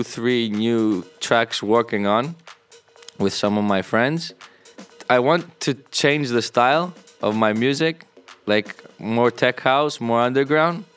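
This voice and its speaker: A man from Canada and Greece giving casual interview answers as a DJ, often halting to search for words. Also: mid-range voice, fairly bright tone, clean tone. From born in Canada, moved to Greece at thirteen. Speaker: DJ and music producer. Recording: music playing underneath. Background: music.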